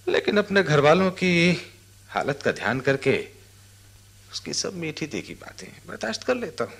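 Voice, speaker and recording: An actor in a radio drama playing a poor, resigned labourer, a sympathetic friend who speaks in a confiding, plaintive way. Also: male voice, lowish voice, vocal fry, a bit bright, slightly rough, heavily acted.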